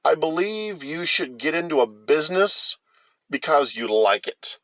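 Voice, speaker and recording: A man's voice: a little high, very animated, unhurried, a bit bright, dry recording. Middle-aged American man, close dry sound. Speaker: host of a storytime vlog. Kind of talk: casual rambling storytelling.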